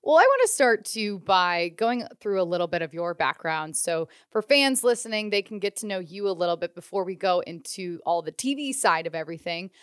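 A woman's voice: fairly low pitch, big pitch swings, brisk, hardly any pauses, clear, resonant tone, dry recording, studio quality. A woman hosting a hockey podcast interview, asking upbeat, friendly questions. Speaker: podcast host.

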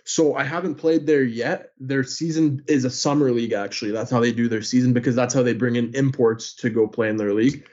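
A young man born in Greece chats easily as an interview guest, filling his answers with ums and likes. His voice sits at an ordinary middle pitch. The audio comes through a little dull and muffled. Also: fairly even intonation, fairly quick, hardly any pauses, a little mellow. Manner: chatty, many filler words. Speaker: guest, hockey player and sports news account runner.

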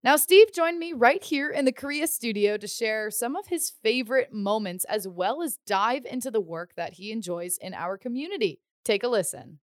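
A woman's voice: on the high side, a bit bright, dry recording, studio quality. A woman hosting a sports interview in an upbeat, encouraging way. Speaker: host, interviewer. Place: studio.

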